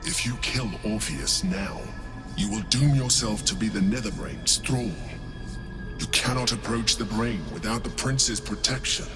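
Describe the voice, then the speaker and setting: Casting: actor for calculating male mind flayer, game drama, deep-leaning, slow, commanding, patient. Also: over music, close to an everyday voice. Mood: grave warning. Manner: measured, superior, deliberate. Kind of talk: video game dramatic acting.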